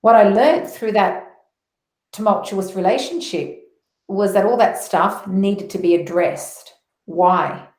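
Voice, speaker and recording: A roomy, echoing recording of an Australian woman in middle age with a mid-pitched voice. She shares personal spiritual testimony as a guest, telling her story in an earnest, emphatic way. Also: slow pace, darkish tone. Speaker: interview guest, motivational speaker. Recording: roomy echo on voice.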